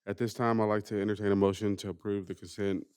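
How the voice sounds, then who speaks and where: A man chairing a school board meeting, running through the agenda with a formal, steady roll-call cadence. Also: lowish voice, fast, smooth.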